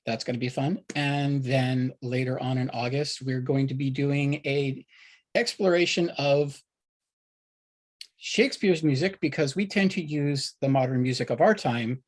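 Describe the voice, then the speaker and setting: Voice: medium pitch, measured pace, a little mellow. Event-series host, mid-range voice, informal delivery of casual announcements.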